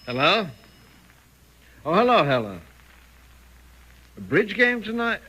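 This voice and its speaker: An actor playing a domineering, scheming husband in a 1940s radio thriller, with an insistent theatrical delivery. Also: male voice, high voice, huge pitch swings, takes it slowly, sharp tone, husky, exaggerated voice. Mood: smooth, evasive. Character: domineering, smooth-talking, scheming husband, coldly intense. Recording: noisy old 1940s radio recording.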